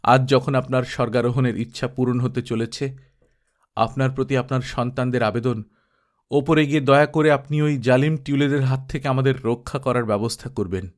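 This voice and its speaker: A man voicing a pompous, bloodthirsty old high priest who pleads in loud ceremonial tones. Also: steady tone, normal speed, natural voice. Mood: pleading.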